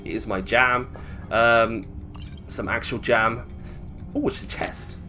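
A man's voice: mid-range voice, steady tone, takes it slowly, bright, forceful tone, a little hiss or hum. A man giving casual, chatty gameplay commentary, thinking aloud and questioning himself as he goes. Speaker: gamer narrating a let's play.

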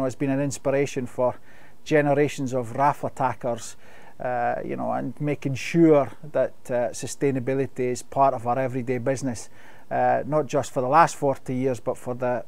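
Brief: middle-aged Scottish man, webinar guest, halting, filler-heavy delivery, dead dry sound. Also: mid-range voice, steady tone, creaky, darkish tone, no room sound. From Scotland. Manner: measured, many fillers and restarts. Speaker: company sustainability expert. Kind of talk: webinar Q&A answers.

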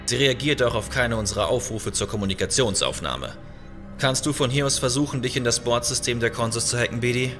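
An actor playing a calm, decisive starship captain in a sci-fi audio drama, commanding and matter-of-fact. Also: male voice, mid-range voice, a little fast, music in the background, lightly acted. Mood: focused concern.

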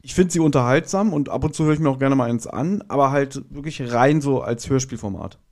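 A man's voice: mid-range voice, a little fast, darkish tone. A man talking casually as a guest on a review podcast.

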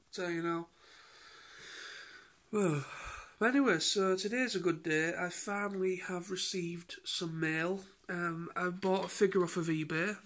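A tired, congested British man in his thirties chats into a phone held at arm's length in his living room. His voice sits a little high for a man, and he rambles with halting restarts and fillers. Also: pushed and bright. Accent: British English. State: tired and stuffed up from a cold, sniffling. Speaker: vlogger. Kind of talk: casual daily vlog chat. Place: living room at home, indoors. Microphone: phone camera held at arm's length.

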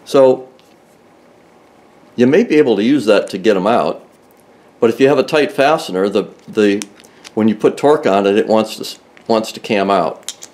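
A man's voice: on the low side, expressive, moderate pace, pauses often, darkish tone. An older man explaining a tool in plain, methodical talk, often restarting mid-sentence, recorded inside a car in a garage. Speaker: car restoration video host. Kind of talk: hands-on tool demonstration.

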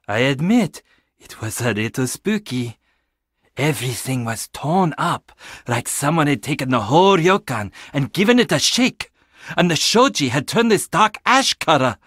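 A man's voice: medium pitch, fairly bright tone, dry recording, studio quality. An actor playing an easygoing, skeptical young man at a family inn in a video game, talking in a casual, wry, chatty way. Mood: uneasy, hushed. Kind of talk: video game character dialogue.

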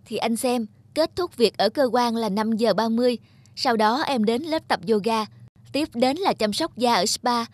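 A woman playing a busy, vain young wife and mother in an animated drama dub. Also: a little high, normal speed, no room sound, natural voice. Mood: self-justifying.

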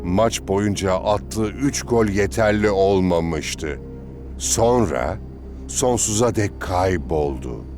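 Low-voiced wistful cartoon teammate. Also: male voice, slow, darkish tone, faint music behind. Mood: wistful, solemn. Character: calm older male teammate, wistful storyteller. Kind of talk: animated series dub.

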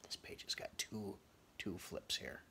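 A man in his fifties with a gravelly voice reads a picture book aloud, adding chatty, conversational asides for young listeners. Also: measured pace, sharp tone, in a whisper. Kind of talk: children's read-aloud with casual asides. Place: small furnished bedroom, indoors.

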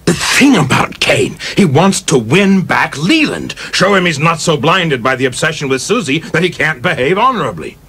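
A man playing a proud, passionate young film director in a radio play, articulate, emphatic and quick to take offence. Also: a little high, sing-song, fairly bright tone, breathy edge, heavily acted. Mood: earnest, persuasive.

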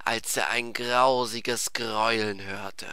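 A man's voice: mid-range voice, steady tone, slow, sharp tone, clean tone, no room sound, studio quality. A man narrating a comic fantasy tale in a theatrical, emphatic scripted style. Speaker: storyteller.

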